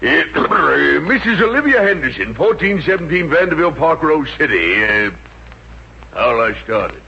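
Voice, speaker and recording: A muffled old radio recording with faint noise. a middle-aged American executive, henpecked and blustery. His voice is bright and forceful, with animated swings in pitch. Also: measured pace, vocal fry, heavily acted. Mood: harried. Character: flustered middle-aged company treasurer, henpecked and exasperated husband. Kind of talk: 1950s radio comedy acting.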